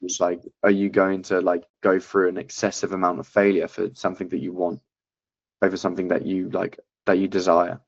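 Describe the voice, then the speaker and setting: Guy coaching sales, blunt and sweary, pretty monotone, dry dull call audio. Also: sits low, brisk, vocal fry, no room sound. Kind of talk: casual coaching chat.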